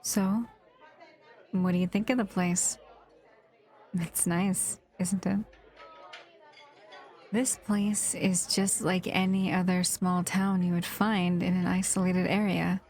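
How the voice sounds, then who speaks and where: A woman acting a protective, wistful monster who escaped a lab, speaking gently to the listener in an audio roleplay. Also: on the low side, little pitch movement, slow, pauses often, a faint crowd. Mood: warm, content.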